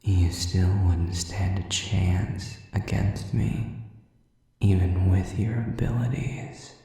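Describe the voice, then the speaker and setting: A man playing a sadistic, predatory demon in an intimate audio roleplay, taunting with mock politeness and breathy, drawn-out menace. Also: sits low, fairly even intonation, dark tone, heavy reverb, recorded from a distance, exaggerated voice. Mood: mock-friendly menace. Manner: slow, drawn-out, mockingly polite, breathy whispers.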